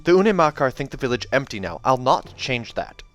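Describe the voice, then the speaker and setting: A man's voice: mid-range voice, sing-song, fairly quick, fairly bright tone, pitched above the performer's own voice. A man voicing a wary young wild woman in a storybook, speaking tersely and firmly with a wobbly put-on accent. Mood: firm warning. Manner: terse, firm, rustic phrasing with uncertain put-on accent. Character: wary savage young woman living alone in wilderness.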